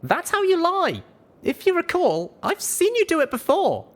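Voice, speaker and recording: A feisty young mare played by a woman narrator from a pony adventure audiobook. The voice sits high and swings with emphasis. She is stubborn and suspicious, pressing for answers in a confrontational way. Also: huge pitch swings, a little slow, full character voice. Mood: accusing, defiant. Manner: confrontational, demanding.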